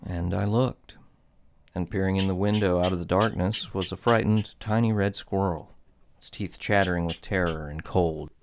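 A man's voice: low-pitched, fairly even intonation, moderate pace. An actor playing an ageless, henpecked husband of a witch in a radio drama, a wry storyteller who gets flustered around his wife. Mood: wry, reminiscing. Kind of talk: old-time radio drama narration and acting.

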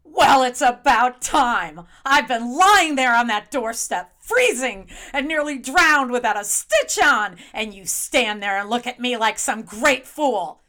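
A woman's voice: on the low side, very animated, slow. An actress playing a possessive, sly witch wife in a radio drama, with a commanding, teasing, coolly menacing manner. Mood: indignant, scolding. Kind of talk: old-time radio drama acting.

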